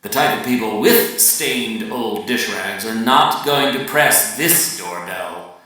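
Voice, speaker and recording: Pompous preaching male lecturer, acted in a live reading, slow and deliberate, distant in a reverberant room. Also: fairly low pitch, animated, pushed and bright, heavily acted. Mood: insistent, scornful. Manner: emphatic, lecturing, deliberate pauses. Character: pompous, preachy man coaching realtors on proper words. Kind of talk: dramatic reading of a literary monologue. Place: large echoey venue, live reading. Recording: very echoey room, far from mic.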